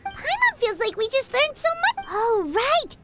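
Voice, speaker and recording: A woman voicing a tiny, childlike fairy companion in a video game, chirpy and eager, with a squeaky voice. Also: very animated, measured pace, heavily acted. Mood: eager, curious. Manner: refers to itself in third person, exclamatory. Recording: music playing behind the voice.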